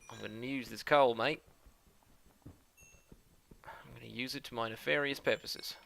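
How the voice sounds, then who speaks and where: A man's voice: mid-range voice, steady tone, normal speed, vocal fry, a bit bright, slight background noise. A man putting on a playful mock Australian accent while giving rambling let's-play commentary. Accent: put-on Australian, based on a New Zealand acquaintance. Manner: playful, self-mocking, rambling.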